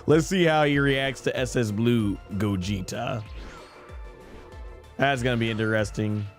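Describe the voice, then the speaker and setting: A man's voice: medium pitch, unhurried. A man chatting casually as he commentates on a video game live. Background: game music.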